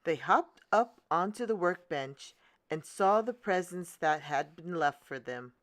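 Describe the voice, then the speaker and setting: A woman narrating a children's storybook as a read-aloud host, in a deliberate, expressive manner. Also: fairly low pitch, no room sound.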